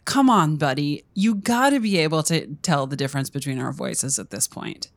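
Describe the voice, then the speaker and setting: A woman's voice: deep voice, normal speed, dry recording. A middle-aged woman co-hosting a podcast, chatting casually and laughing easily. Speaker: podcast co-host. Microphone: studio microphone.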